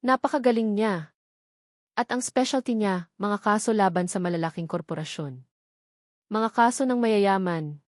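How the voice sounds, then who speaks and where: A woman's voice: medium pitch, moderate pace, pauses often, dry recording, studio quality, natural voice. A woman voicing a scheming, falsely caring best friend in a romance audio drama, urging and persuasive.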